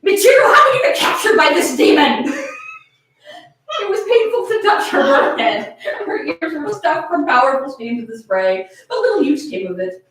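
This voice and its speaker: A woman's voice: high voice, very animated, moderate pace, a bit bright. A woman giving a comedic dramatic reading of fan fiction, giggly and laughing through her words. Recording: echoey room, distant microphone.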